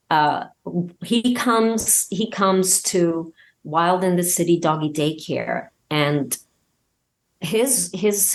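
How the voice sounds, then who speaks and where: A woman talking casually as an interview guest and telling anecdotes, emphatic and halting, often repeating words as she goes. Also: lowish voice. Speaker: podcast guest, audio drama creator and voice actor.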